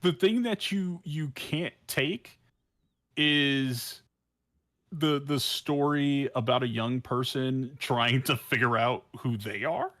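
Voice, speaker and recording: A man's voice: mid-range voice, slow pace, fairly bright tone. A man in a casual podcast discussion, speaking informally and emphatically, often repeating words as he works out his point. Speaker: podcast panelist.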